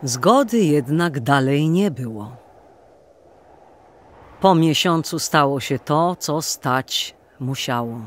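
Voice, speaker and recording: Guy telling an old legend, slow dramatic delivery, bit of background noise. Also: a little high, animated. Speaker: narrator. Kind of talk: dramatic storytelling narration of an old folk tale.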